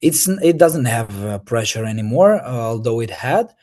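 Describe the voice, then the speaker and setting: A man's voice: mid-range voice, lively intonation, moderate pace, a little mellow. A young man from Moldova, answering interview questions in English with many fillers and restarts. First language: Romanian. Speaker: Eurovision artist.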